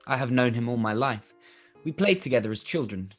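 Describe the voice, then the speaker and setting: A man playing a charming, wronged young officer in a period radio play, confiding and measured, with music behind. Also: medium pitch, expressive, close to an everyday voice. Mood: polite, probing. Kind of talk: live-read period radio play acting.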